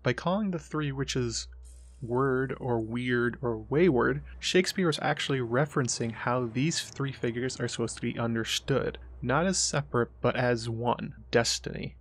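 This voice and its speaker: A man hosts with scripted, explanatory narration. His voice sits at an average male pitch, and he reads as a presenter laying out a subject. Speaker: host, presenter.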